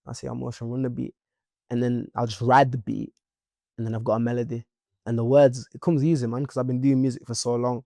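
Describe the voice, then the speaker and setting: A young man from Leeds with a Leeds accent, a musician guest chatting in a playful, animated interview. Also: mid-range voice, a little fast, vocal fry, no room sound, studio quality. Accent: Leeds English. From Leeds, England. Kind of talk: casual interview chat.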